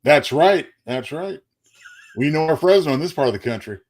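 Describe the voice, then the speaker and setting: A man co-hosting a live show, chatting casually in a halting, folksy manner. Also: fairly high pitch, lively intonation. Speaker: co-host.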